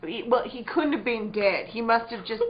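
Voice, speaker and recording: A woman's voice: on the high side, normal speed, lightly acted. Woman playing a careworn, anxious country wife. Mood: alarmed. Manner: plain country dialect, fretful and earnest. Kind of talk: theatrical radio-play acting. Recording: dull, noisy lo-fi recording.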